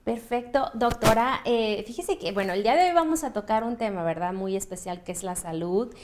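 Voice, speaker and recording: Bubbly woman TV host, higher voice. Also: lively intonation, brisk, background noise. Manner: bubbly, emphatic presenter delivery. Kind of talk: upbeat show hosting and interview.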